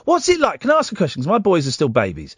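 A man's voice: sits high, huge pitch swings, very fast, fairly bright tone, breathy edge, no room sound. A man in his forties hosting a late-night radio phone-in, playful, self-deprecating and rambling. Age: 40s. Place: radio studio. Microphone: studio microphone.

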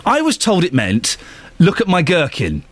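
A man's voice: high voice, huge pitch swings, normal speed. A man hosting a radio show, blunt and teasing, often stumbling over his words and restarting. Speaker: radio host. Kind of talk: casual radio chat. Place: radio studio. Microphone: studio microphone.

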